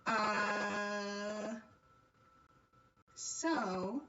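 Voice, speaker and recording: A woman's voice: medium pitch, steady tone, drawn-out words, distant mic, highs cut off, heavy background noise. A woman livestreaming a game, chatting casually and playfully with her viewers. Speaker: livestreamer playing a visual novel. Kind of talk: casual chat while gaming.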